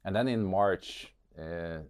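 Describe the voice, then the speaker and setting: A man's voice: deep voice, slow pace, smooth, dry recording, clean studio sound. A man from Norway with a Norwegian accent, speaking reflectively as an interview guest, hesitant and repeating words as he searches for phrasing. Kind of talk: reflective interview talk about personal experience.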